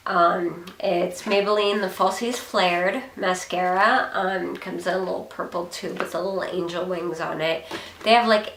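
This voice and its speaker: A young woman talks through her latest beauty haul in a hoarse, gravelly voice, sitting somewhere low-pitched for her. She rambles along casually, full of ums and small asides. The room around her rings with echo. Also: a bit bright, a little hiss or hum. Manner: chatty, rambling, frequent ums. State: hoarse from a cold. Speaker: beauty vlogger. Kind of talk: casual product haul chat.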